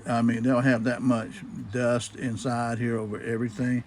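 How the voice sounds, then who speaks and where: A man with a medium-pitched voice explains a hands-on job as a hobbyist would. His delivery is casual and folksy, without a script. He circles back over his points and checks in with the viewer as he goes. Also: flat delivery, normal speed, darkish tone, slight background noise. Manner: folksy, asks and answers own questions. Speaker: hobbyist demonstrating old radio restoration. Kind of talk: casual hands-on demonstration, unscripted.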